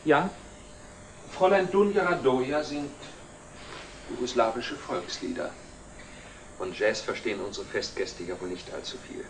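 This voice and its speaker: A man's voice: mid-range voice, measured pace, long pauses, lightly acted, low-quality recording. An actor playing a placating boyfriend in his thirties in a 1960s TV drama. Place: furnished living room set. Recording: faint hiss, old TV soundtrack.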